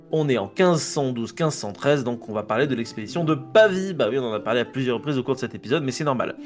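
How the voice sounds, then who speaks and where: French man reading history, trips over names. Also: mid-range voice. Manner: reads a text, stumbles on names, jokes and swears at himself. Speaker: podcast co-host presenting a history segment. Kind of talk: casual history podcast narration read from notes.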